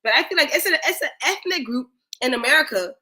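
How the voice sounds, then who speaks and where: Young woman guest, South Carolina Geechee accent, quick and bright, slangy and animated. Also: high voice. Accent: Gullah Geechee, South Carolina. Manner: animated, slangy, many "like" fillers. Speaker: guest commentator. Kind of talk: casual chat.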